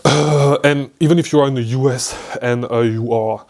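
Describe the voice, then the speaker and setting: A young man from Belgium speaks English with a Belgian French accent, his first language being French. He freestyles to camera in a laid-back vlog, his voice sitting at an ordinary middle pitch. He rambles, restarts sentences and fills pauses with "uh" and "um". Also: steady tone, darkish tone. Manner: rambling, restarts and fillers, swears casually. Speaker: online business coach. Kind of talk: casual freestyle talk to camera.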